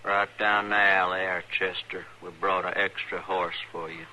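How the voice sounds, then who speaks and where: A man's voice: low voice, flat delivery, normal speed, pushed and bright, poor audio. An actor playing a hard young American outlaw henchman, curt, bossy and threatening, in an old radio Western. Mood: menacing. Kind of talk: 1950s radio Western acting.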